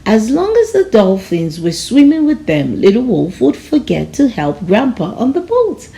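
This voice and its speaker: A woman narrating a children's storybook aloud in a measured, expressive storytelling manner. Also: on the high side, creaky. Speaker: storybook reader.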